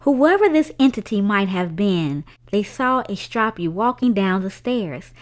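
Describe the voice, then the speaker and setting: A woman reading a children's story aloud as a storyteller, with a dramatic, expressive delivery. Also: mid-range voice, unhurried.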